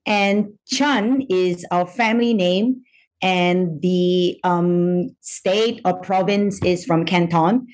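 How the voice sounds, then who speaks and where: A middle-aged woman from Malaysia speaks in an unhurried, deliberate way. Her voice sits a little low, and she thinks aloud with soft ums and pauses. She is a psychiatrist answering interview questions. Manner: measured, thoughtful, frequent ums. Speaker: psychiatrist guest.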